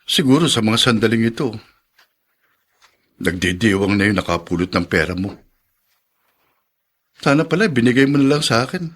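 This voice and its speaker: A man's voice: lowish voice, expressive, moderate pace, pauses often, a bit bright. A man playing a fatalistic, self-pitying husband in a radio drama, complaining melodramatically in a Filipino accent. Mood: bitter, glum. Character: bitter, fatalistic, self-pitying husband fallen on hard times.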